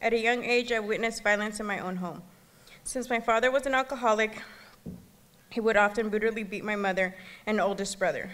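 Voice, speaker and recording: Woman, 30s, from El Salvador, earnest halting speech at a podium, long hall reverb. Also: medium pitch, fairly bright tone. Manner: earnest, halting, occasional restarts. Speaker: gala speaker. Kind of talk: personal testimony speech. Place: gala banquet hall.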